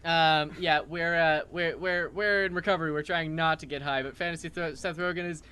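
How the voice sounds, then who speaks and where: A man hosting an improvised comedy show, chatting in an animated, rambling, mock-exasperated way. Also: on the high side, fairly quick, smooth.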